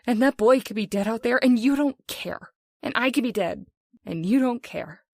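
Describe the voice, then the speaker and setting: Woman acting scared bitter wife in old time radio horror, high voice, super dry sound, pleading and intense. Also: lively intonation, moderate pace, darkish tone, dry recording. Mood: bitter outburst. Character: frightened, long-suffering middle-aged wife, bitter and pleading.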